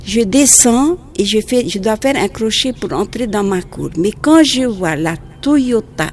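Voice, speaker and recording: A nun from French Polynesia with a Polynesian accent, speaking French as a second language and recalling the past in a halting, gentle way, often restarting her phrases. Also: medium pitch, expressive, slight background noise. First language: Tahitian. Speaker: nun and teacher. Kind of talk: outdoor interview recollection.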